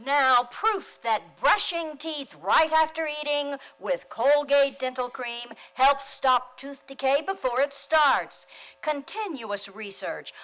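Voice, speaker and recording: Lady doing an old radio ad read, slow pace, highish voice. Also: a bit bright, low-quality recording. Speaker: radio commercial announcer.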